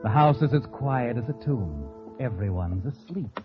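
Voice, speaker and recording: Actor as ominous, swooping mystery narrator. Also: male voice, medium pitch, expressive, a little slow, close to an everyday voice. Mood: hushed, ominous. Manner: insinuating, dramatic, second-person storytelling. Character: ominous all-knowing mystery narrator addressing the guilty character. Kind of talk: 1940s radio suspense narration. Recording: dull vintage radio recording with music.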